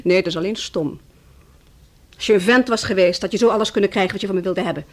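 A woman's voice: mid-range voice, fast, close to an everyday voice. Bitter young wife for radio drama, played by a woman: mid-range voice, cutting, taunting, proud. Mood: scornful mockery. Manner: scornful, taunting. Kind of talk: Dutch radio play acting.

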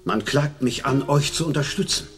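A man's voice: medium pitch, normal speed, a bit bright, soft background music, lightly acted. An older actor playing a stubborn, dignified elderly nobleman held prisoner in a German radio play, formal in speech. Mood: defiant, proud.

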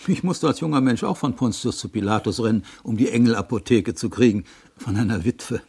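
Gravelly, courtly older gentleman pharmacist. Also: medium pitch. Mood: businesslike. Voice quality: gravelly, husky. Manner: formal, deferential, measured. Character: courtly older small-town pharmacist, genteel and businesslike. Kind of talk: 1960s German radio play acting.

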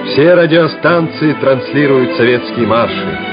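A man narrating a Soviet war radio play in a measured, solemn, declamatory manner. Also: medium pitch, lightly acted. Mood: exultant, proud. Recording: dull vintage radio play recording, loud music. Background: music.

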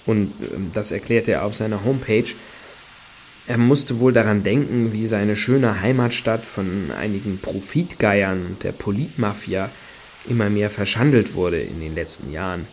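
A man's voice: fairly low pitch, normal speed, lightly acted. An actor playing a wordy, wry and hesitant male fan in a German radio play. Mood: wry, musing. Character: hesitant, sardonic male fan of a washed-up satirist. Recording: hissy background noise.

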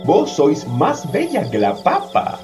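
An actress playing an enchanted magic mirror, solemn and deferential as it flatters, in a theatrical radio drama. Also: female voice, sits low, slow, heavily acted. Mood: solemn, flattering. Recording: music behind.

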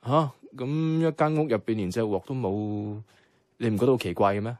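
A man with a Hong Kong Cantonese accent playing a caring, easygoing young flatmate in a radio drama, talking casually and earnestly. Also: mid-range voice, moderate pace, no echo, studio quality, close to an everyday voice. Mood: caring, coaxing. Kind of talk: conversational radio drama dialogue. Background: background music.

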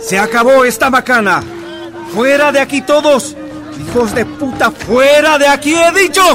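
A bullying policeman, barks orders in a radio play. His shouted voice is pushed very high, with music and a crowd around it. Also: moderate pace. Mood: angry. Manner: barking orders. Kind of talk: radio drama acting.

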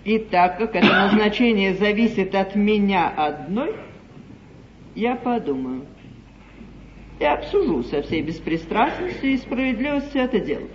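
An actress playing a haughty, imperious English duchess in a 1950s stage comedy, speaking with grand, icy condescension. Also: female voice, medium pitch, expressive, a little slow, close to an everyday voice. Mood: condescending. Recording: muffled, echoey 1953 theatre broadcast, faint noise.

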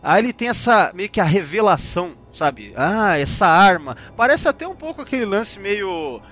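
A man's voice: high-pitched, big pitch swings, normal speed, fairly bright tone, faint music behind. A Brazilian man hosting a casual panel discussion, rambling and slangy as he often interrupts himself. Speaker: podcast host.

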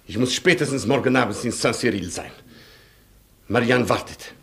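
An actor playing a proud, stubborn aristocratic Polish colonel in a radio play, haughty and curt. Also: male voice, medium pitch, normal speed, creaky, a crowd in the background, close to an everyday voice. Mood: commanding, impatient. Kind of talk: theatrical radio play acting.

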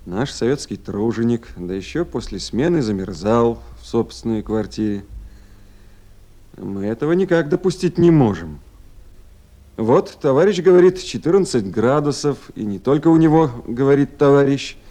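An actor playing a calm male Soviet Party official in a radio play, measured and firmly instructing. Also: mid-range voice, close to an everyday voice.

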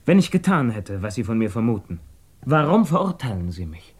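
A man's voice: mid-range voice, sing-song, brisk, darkish tone, breathy edge. An actor playing a troubled, defensive accused man, intense and formal, in a 1950s radio drama. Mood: challenging, searching.

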